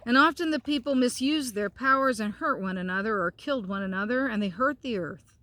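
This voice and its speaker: A woman pastor reading a storybook and giving an informal devotional talk for children, with gentle pauses and simple phrasing. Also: fairly high pitch, no room sound.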